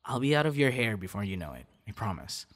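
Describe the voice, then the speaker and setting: A man playing a friendly, shy young budding voice actor chatting casually with a stranger in an ASMR roleplay, hesitant and softly teasing. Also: fairly low pitch, very animated, fast talker. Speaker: audio roleplay performer. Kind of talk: ASMR roleplay, casual one-sided conversation.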